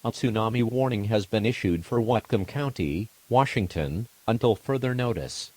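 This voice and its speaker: A man reading a scripted weather emergency warning, formal, measured and impersonal. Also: lowish voice, darkish tone, no room sound, rough recording.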